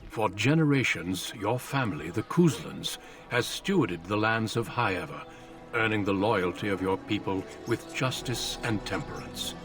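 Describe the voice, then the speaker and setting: An actor playing a solemn narrator of a fantasy game saga, telling it in a measured, formal way. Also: male voice, fairly low pitch, expressive, a bit bright, far from the mic, faint music behind.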